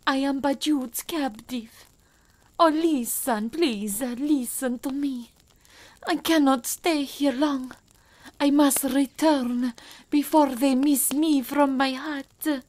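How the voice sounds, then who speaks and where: Scared young noble lady begging in a hush, high voice, slow and soft, a man putting her on. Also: steady tone. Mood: pleading. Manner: breathless pleading, hushed. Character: frightened young noblewoman captive, pleading.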